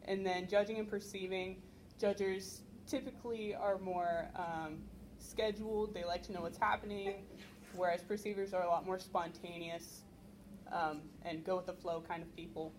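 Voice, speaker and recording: A woman's voice: on the low side, steady tone, moderate pace, a little mellow, slight background noise, poor audio. Young woman explaining with frequent ums. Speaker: convention panelist. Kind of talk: convention panel presentation. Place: convention panel room without microphones. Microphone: no microphone, recorded from the room.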